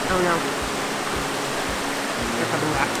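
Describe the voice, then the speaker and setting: A woman's voice: sits low, slow pace, over loud music, rough recording. A woman in her thirties vlogging casually at a campsite, chatting about what she is doing. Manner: chatty, relaxed, narrates what she does. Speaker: family vlogger. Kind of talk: casual travel vlog. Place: outdoor campsite by a stream. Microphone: handheld camera. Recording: loud stream noise behind.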